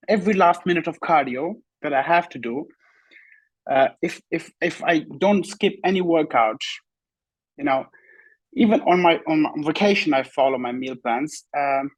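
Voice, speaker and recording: A young man whose first language is Pashto, a bodybuilder telling his story in a relaxed interview and often saying "you know.". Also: on the high side, moderate pace, dry recording, rough recording. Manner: relaxed, repeats "you know" often, simple non-native phrasing. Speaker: bodybuilding competitor, guest. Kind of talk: casual interview talk.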